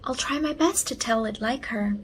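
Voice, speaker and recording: A woman voicing a gentle, weary, caring mother in a children's storybook, soothing in manner. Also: a little high, normal speed, slight background noise, close to an everyday voice. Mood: tender.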